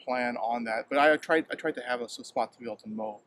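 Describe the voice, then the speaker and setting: A man from Hawaii, a home fruit grower, talking in a halting, casual way, often repeating words and filling pauses with um, recorded outdoors in a yard. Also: mid-range voice, a bit bright, a little hiss or hum. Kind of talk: casual interview.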